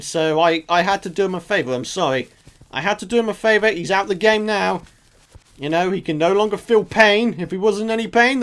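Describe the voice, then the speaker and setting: A man with a sore throat commenting casually on his own gameplay, chatty and self-mocking, muttering asides to the game. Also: sits high. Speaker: gaming channel host. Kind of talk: casual let's play commentary.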